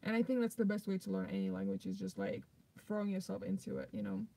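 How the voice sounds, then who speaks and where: A young woman with a Russian accent, her voice heavy with vocal fry, chats loosely at a middling pitch. She rambles through her answers with lots of "like" and "um" while replying to viewers on a live stream. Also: sing-song, fast talker, warm tone. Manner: loose and rambling, many "like" and "um" fillers. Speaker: vlogger hosting a live stream. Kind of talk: casual live-stream chat, reading viewer comments.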